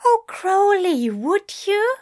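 A woman voicing a nervous teenage boy who frets and pleads in a high, breathless voice. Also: expressive, a little slow, no room sound, studio quality. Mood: grateful.

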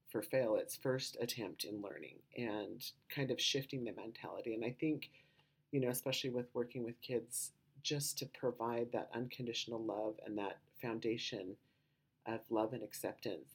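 A woman with a low voice hosting a podcast, talking in a rambling, casual way with lots of "you know" and "um" asides. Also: darkish tone, husky. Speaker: podcast host.

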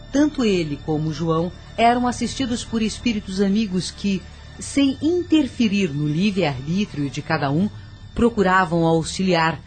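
A woman's voice: lowish voice, a little mellow. A woman narrating a radio drama from a script.

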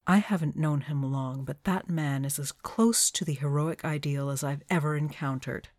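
A woman plays a stern witch in a fantasy audio drama. Her voice is low and dark, and she speaks firmly, thoroughly unimpressed. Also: steady tone, moderate pace. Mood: vehement. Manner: dark-toned, firm. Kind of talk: acted fiction podcast dialogue.

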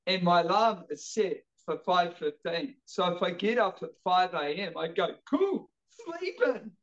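A man's voice: high-pitched, measured pace, muffled recording. A man in his late fifties with a New Zealand accent, chatting casually as a podcast guest, telling stories energetically and often repeating his words as he goes. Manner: energetic, stammering repetitions. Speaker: podcast guest, jiu-jitsu coach. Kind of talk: casual chat, animated storytelling.

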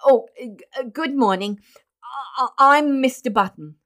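A woman voicing an anxious, flustered gentleman and new father in an audiobook, stammering as he speaks. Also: sits high, a little slow, voice pushed up. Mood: nervous, stammering. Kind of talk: audiobook character voice.

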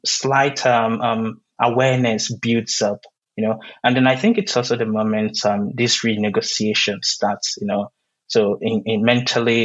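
Nigerian male poet, Igbo native speaker, slightly husky, halting and thoughtful, dull lo-fi sound. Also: fairly low pitch. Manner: halting, many "um"s, searching for words. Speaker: poet, interview guest. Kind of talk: reflective interview conversation.

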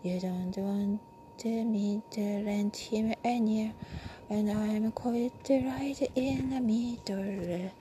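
A woman reading the part of an upset wife caught between her husband and her brother, in a hushed, half-whispered delivery. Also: mid-range voice, steady tone, drawn-out words, slight background noise. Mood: pleading.

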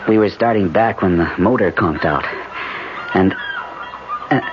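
An actor playing an anxious, earnest father of a young boy in a 1970s radio drama. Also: low voice. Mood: grave, steady. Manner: earnest, halting when flustered. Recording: muffled, hissy old radio recording.

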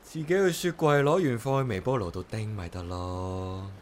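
A man's voice: lowish voice, moderate pace, slight background noise, lightly acted. An actor playing an easygoing man who lives alone, speaking in a casual, wry manner in a radio drama. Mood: lazy, grumbling. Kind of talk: Cantonese radio drama acting and narration.

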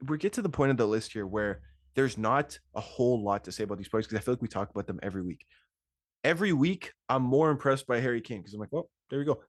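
A man co-hosting a casual football podcast, talking fast and animated and laughing often. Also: medium pitch, no echo, studio quality. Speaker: podcast co-host. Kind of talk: casual sports chat.

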